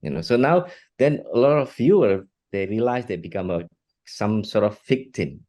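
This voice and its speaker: A middle-aged man from Singapore, answering interview questions in a halting way, often restarting and repeating words. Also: lowish voice, expressive, mellow. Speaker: guest, art technologist and educator.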